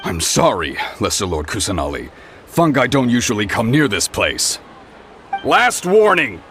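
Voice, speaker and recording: A man's voice: lowish voice, big pitch swings, a little slow, a bit bright, soft background music. A man voicing a stern guard who barks warnings, in a game cutscene. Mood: apologetic. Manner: barking warnings, then deferential. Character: stern male guard, gruff and protective.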